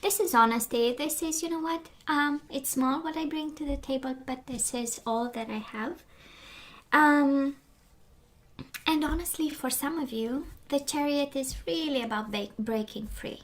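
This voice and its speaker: Woman tarot reader, light high voice, going hoarse, rambly, slips into whispers. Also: a little slow. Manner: rambling, many fillers, drops into whispers. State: losing her voice. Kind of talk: casual spoken tarot reading.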